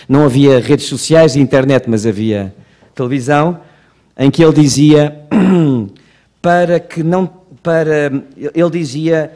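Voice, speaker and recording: A man in his fifties with a European Portuguese accent, giving a measured, rhetorical seminar speech at a podium microphone. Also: a little high, warm tone. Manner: measured, rhetorical, slows for emphasis. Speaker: Portuguese consul general giving opening remarks. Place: conference auditorium, at a podium.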